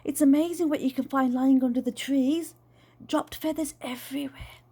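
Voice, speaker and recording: A woman's voice: high-pitched, steady tone, moderate pace, warm tone. A woman voicing a plump, childlike dad in a storybook, eager, playful and boastful about flying. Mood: dreamy. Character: plump, excitable, childlike dad who longs to fly.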